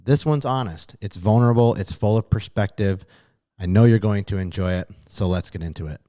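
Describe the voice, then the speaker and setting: A man's voice: fairly low pitch, brisk, mellow. A man hosting a podcast from a small studio, reading an upbeat introduction and then chatting casually and laughing easily with his guest. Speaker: podcast host. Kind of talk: read sponsor intro, then casual chat.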